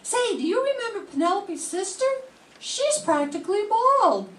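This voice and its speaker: An older woman playing a chatty, cheeky young gossip, landing each joke slowly, in an amateur radio drama reading. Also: very high voice, very animated, fairly bright tone, exaggerated voice. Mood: gossipy. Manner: slow, punchline delivery. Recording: echoey room, far from mic, faint noise.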